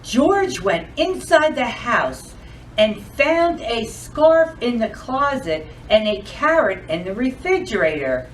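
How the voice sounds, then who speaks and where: A woman hosting a children's storytime, reading aloud and addressing young kids in an expressive, sing-song, deliberate way. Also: fairly high pitch, recorded from a distance, a little hiss or hum, low-quality recording. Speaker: library storytime host.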